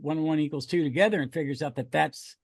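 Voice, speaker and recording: Creaky, higher-voiced man hosting a casual podcast. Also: fast, dry recording, clean studio sound. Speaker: podcast host. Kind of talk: casual chat.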